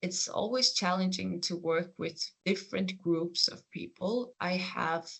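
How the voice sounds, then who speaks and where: A speaker from the Faroe Islands whose first language is Faroese, with a Faroese accent, answering career interview questions in an earnest, reflective, gently encouraging way. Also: mid-range voice, measured pace, creaky, loud music behind the voice. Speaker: programme coordinator and PhD researcher.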